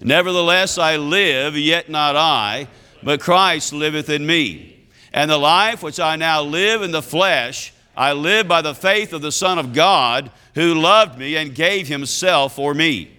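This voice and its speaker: A man's voice: pushed and bright, clean tone. Scratchy-voiced man preaching. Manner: folksy, calls out amen to the congregation; reads scripture slowly with rising pitch and emphasis. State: scratchy voice from illness. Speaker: visiting preacher. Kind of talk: sermon.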